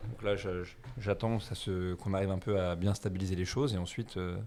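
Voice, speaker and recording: A man's voice: sits low, fairly even intonation, fairly quick, background noise. A man in his thirties from France, chatting casually as an interview guest, rambling and self-deprecating with lots of fillers.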